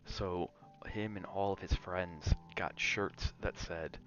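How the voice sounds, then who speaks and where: A man's voice: deep voice, steady tone, slow, a bit bright, dull audio, soft background music. A man chatting casually on an ASMR gaming livestream, rambling and drifting between chat and game reactions. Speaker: game streamer.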